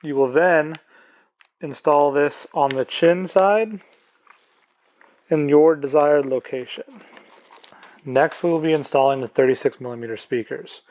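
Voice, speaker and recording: A man's voice: on the high side, a little slow, long pauses, darkish tone. A man presenting an instructional product tutorial, explaining plainly step by step.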